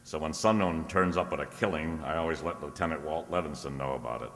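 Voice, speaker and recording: A man's voice: extremely low pitch, quick pace, a bit bright. A man playing a breezy, teasing ex-cop private detective in an old-time radio drama style, recorded in a very reverberant space. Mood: wry, matter-of-fact. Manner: breezy, teasing, first-person hardboiled narration. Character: wisecracking ex-cop private detective, unflappable, flirtatious. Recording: very reverberant room or hall.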